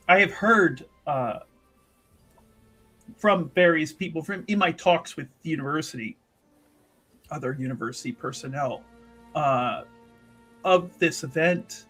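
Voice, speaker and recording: A man's voice: on the high side, lively intonation, slow, long pauses, pushed and bright, breathy edge, soft background music, exaggerated voice. A man playing an earnest, wry investigator in a fantasy tabletop game, musing hesitantly and circling back over his words. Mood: puzzled, probing. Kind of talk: tabletop roleplay, improvised in character.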